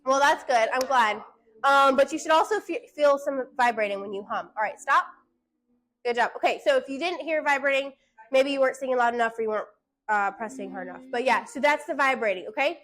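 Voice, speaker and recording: A woman voice teacher giving classroom singing instruction, hushing her students and checking in with "okay?" after nearly every point. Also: high voice, brisk.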